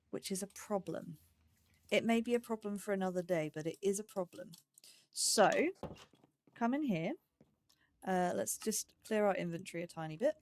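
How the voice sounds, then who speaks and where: Woman gamer, mid-pitched, rambling and chatty commentary, ums throughout. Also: dry recording. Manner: chatty, thinking aloud with many ums. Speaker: let's play gamer. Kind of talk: casual gameplay commentary.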